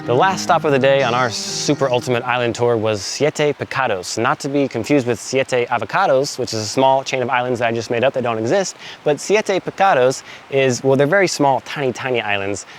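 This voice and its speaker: A man's voice: mid-range voice, brisk, hardly any pauses, soft background music. A man narrating a travel vlog in an upbeat, joking, chatty way, outdoors on an island tour. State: congested from a head cold. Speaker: travel vlogger.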